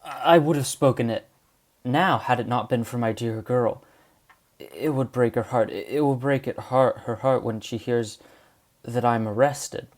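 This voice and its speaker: A man's voice: medium pitch, unhurried, natural voice. A man playing a weary, dying old man in an amateur audio drama, grave and remorseful as if confessing. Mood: anguished.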